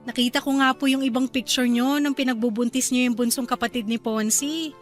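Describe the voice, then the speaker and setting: Young pregnant wife, woman acting, real high voice, polite n soft, music under. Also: steady tone, brisk, close to an everyday voice. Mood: deferential. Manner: polite, deferential, soft-spoken. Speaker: daughter-in-law character. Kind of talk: radio drama acting.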